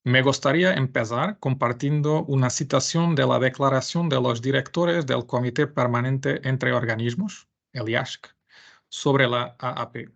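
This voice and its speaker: A man with a Portuguese accent giving a webinar presentation in Spanish, his second language. His voice is gravelly and sits at a middle pitch. He speaks carefully and sometimes stops to restart a phrase. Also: steady tone, lo-fi sound. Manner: careful, slightly halting non-native Spanish. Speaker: webinar presenter, information management adviser.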